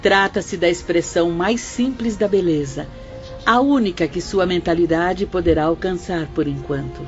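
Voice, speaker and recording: A woman's voice: fairly low pitch, normal speed, lightly acted. A woman with a Brazilian accent playing a serene, lofty spirit guide in an audio drama, formal and instructive. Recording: muffled lo-fi audio with music.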